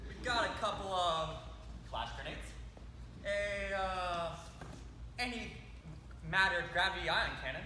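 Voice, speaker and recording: An excitable squad leader, acted by a man rambles theatrically through a stage comedy. The voice is high and very animated. It rings around a noisy hall. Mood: explanatory. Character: excitable young squad leader giving a morbid, rambling pep talk. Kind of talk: amateur stage comedy acting.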